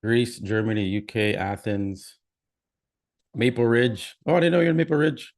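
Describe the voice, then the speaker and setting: A man's voice: mid-range voice, steady tone, normal speed. A man with a Canadian accent hosting a live stream, chatting casually and reading out where viewers are from. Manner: chatty, reads out viewer comments. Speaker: livestream host.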